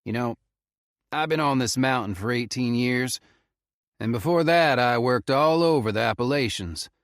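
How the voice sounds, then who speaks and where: Guy putting on a southern drawl ranger, folksy, higher and louder than his own voice. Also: normal speed, no echo, clean studio sound, close to an everyday voice. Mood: confiding. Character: gruff middle-aged male park ranger, southern drawl.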